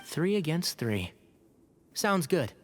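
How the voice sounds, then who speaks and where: An actor, a man, playing a calm, perceptive companion and advisor in a video game, expressive and considered. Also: fairly high pitch, slow pace. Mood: determined. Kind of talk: video game dialogue acting.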